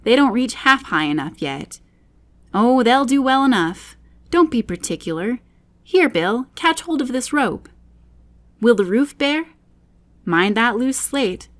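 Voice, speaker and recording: A woman's voice: fairly high pitch, lively intonation, a little slow, long pauses, voice pushed up. A woman voicing bustling little animal workers in a storybook, calling out short, urgent instructions. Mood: bustling, bossy.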